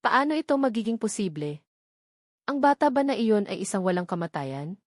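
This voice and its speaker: A woman's voice: mid-range voice, sing-song, normal speed, dry recording. Woman narrating a story, dry sound. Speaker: audiobook narrator.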